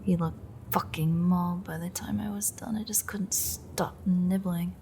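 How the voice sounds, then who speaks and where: A smug vampire villainess, played by a woman, speaking in a lowish voice with a gravelly fry. She toys with her captive in an intimate audio drama, teasing and sardonic with open menace. There is faint noise behind her. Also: steady tone, unhurried, warm tone. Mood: gloating, hungry. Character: smug, predatory female vampire taunting her captive hunter. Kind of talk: intimate audio roleplay monologue.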